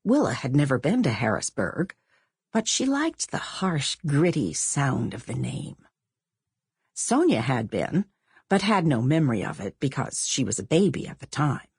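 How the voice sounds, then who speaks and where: A woman reading a novel as an audiobook narrator, with measured, expressive storytelling. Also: very deep voice, breathy edge, dry recording, studio quality. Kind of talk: scripted literary narration.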